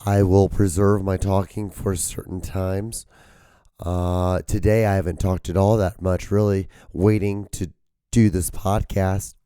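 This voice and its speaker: A hoarse man with a low, mellow voice that keeps cracking and dropping out. He rambles through his solo podcast and swears casually. His voice sounds close and dry on the mic. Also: flat delivery, unhurried, dry recording. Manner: rambling, swears casually, strains to hold back his volume. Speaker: podcast host.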